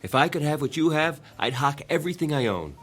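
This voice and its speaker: A man's voice: fairly high pitch, lively intonation, a little fast, fairly bright tone, husky. A man playing a harried, apologetic boyfriend in a radio drama, with a nervy, hesitant, pleading manner. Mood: weary, rueful. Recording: music behind the voice.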